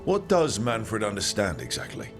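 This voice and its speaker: An actor playing a friendly, plain-spoken adventurer hero in a video game, talking casually and briefly. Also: male voice, lowish voice, huge pitch swings, moderate pace, music in the background. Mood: curious, wry. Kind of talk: video game character acting.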